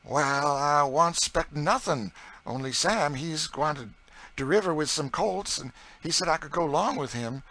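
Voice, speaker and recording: A man's voice: on the high side, a little slow, bright, forceful tone, pitched above the performer's own voice. A man voicing an eager, motherly enslaved woman cook in an audiobook, with a folksy dialect and exclamatory delivery. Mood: earnest. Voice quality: folksy dialect speech with dropped sounds. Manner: exclamatory, emphatic. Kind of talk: audiobook character dialogue.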